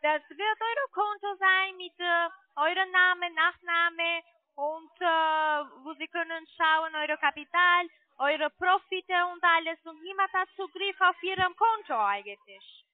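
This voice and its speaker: A woman speaking non-native German as a call centre agent, making a halting phone sales pitch, often searching for words. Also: dry recording. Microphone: telephone. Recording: muffled narrowband phone line.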